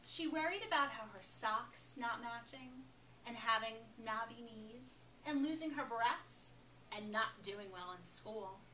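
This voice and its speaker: A woman reading a children's picture book aloud with expressive, emphatic storytelling phrasing. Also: a little high, a little slow. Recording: echoey, noisy room, distant microphone.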